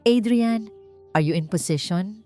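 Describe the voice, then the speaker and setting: A woman's voice: medium pitch, a little slow, dark tone, voice pushed up, natural voice. A woman with a Filipino accent voicing a resolute, firm billionaire man in an audiobook drama. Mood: controlled. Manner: firm, emphatic. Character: resolute billionaire man, sharp, commanding, secretly tender. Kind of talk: audiobook character dialogue. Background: background music.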